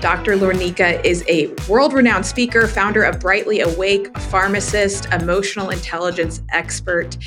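A woman's voice: medium pitch, steady tone, moderate pace, hardly any pauses, fairly bright tone, faint music behind. A woman hosting a podcast, chatting casually with a guest and adding playful emphasis. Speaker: podcast host. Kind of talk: casual interview chat.

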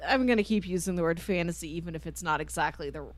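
Female podcast co-host, fairly low register, relaxed conversational delivery, very dry recording. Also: fast talker, dry recording. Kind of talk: casual book discussion.

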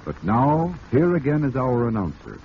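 A man's voice: medium pitch, very animated, normal speed. A man speaking as the announcer of a 1940s style radio thriller. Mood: dramatic. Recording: muffled, noisy old radio recording.

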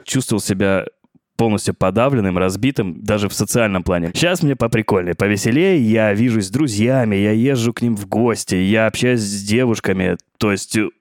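A man's voice: lowish voice, a little fast, no room sound, studio quality. A man in his thirties co-hosting a podcast, chatting in an informal, slangy way. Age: 30s. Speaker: podcast co-host. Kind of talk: casual chat.

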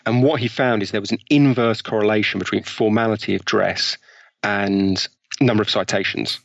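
Brief: male guest author, lively explainer, dull and dry sound. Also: on the low side, normal speed, vocal fry, no echo. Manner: enthusiastic storyteller, explains studies with examples. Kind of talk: podcast interview.

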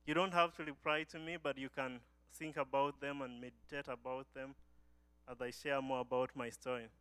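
A man's voice: medium pitch, little pitch movement, normal speed, bright, forceful tone, clean tone, no room sound. A young man from Uganda giving an earnest church testimony as a guest speaker, often restarting his phrases.

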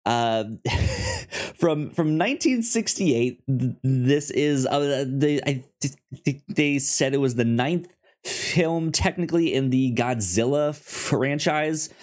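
Slow-paced, stammering man chatting about films. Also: mid-range voice, lively intonation. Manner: halting, stammering with repeated words and syllables. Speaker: podcast co-host. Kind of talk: casual film discussion.

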